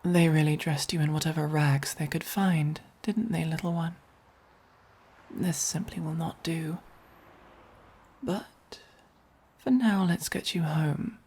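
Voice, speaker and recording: Woman as a soft, breathy English vampire. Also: sits low, heavily acted. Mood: protective, soothing. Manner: slow, deliberate, commanding. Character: haughty, possessive but caring vampire buying a human pet. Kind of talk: soft-spoken ASMR roleplay.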